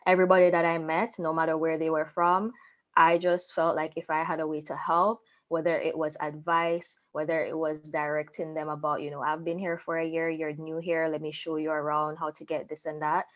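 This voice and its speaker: A woman's voice: low voice, level tone, fairly quick, dull audio. A young adult woman telling her personal story candidly as an interview guest. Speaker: interview guest, content creator.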